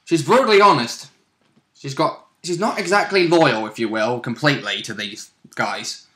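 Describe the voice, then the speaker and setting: A man's voice: medium pitch, normal speed, fairly bright tone. A man chatting casually as a player about a tabletop role-playing game, informally mimicking what was said.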